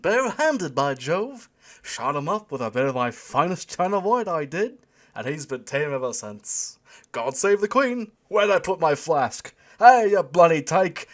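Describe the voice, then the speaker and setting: Storybook joker: cocky young fellow, exaggerated English adventurer accent, higher pitch, full of bluster. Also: male voice, very animated, normal speed. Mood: boastful, clowning. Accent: thick mock English. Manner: boastful, blustering.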